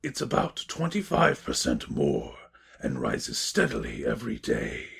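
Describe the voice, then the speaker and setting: A man playing a confident villain pony king, speaking slowly and formally in a fan fiction audio drama. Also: a bass voice, huge pitch swings, vocal fry, fairly bright tone, full character voice. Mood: commanding. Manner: slow, formal, imperious.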